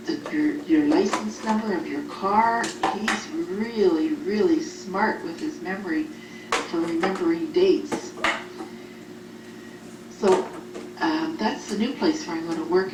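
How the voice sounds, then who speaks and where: Low-voiced woman on a noisy cassette tape. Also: echoey room, distant mic. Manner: simple explanatory phrasing, as to a small child. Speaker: group home care worker. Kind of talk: recorded audio letter talking to a child. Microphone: cassette recorder at a distance.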